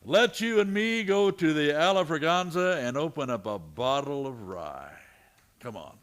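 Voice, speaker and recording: A man playing a steady, plain-talking, protective frontier lawman in an old-time radio western. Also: fairly high pitch, a little slow. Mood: friendly.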